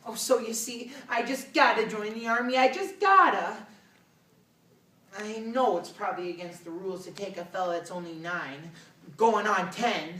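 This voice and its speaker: A man's voice: high-pitched, fairly bright tone. A man playing an earnest nine-year-old American farm boy, pleading in a folksy, stammering theatrical monologue. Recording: roomy, distant mic with background noise.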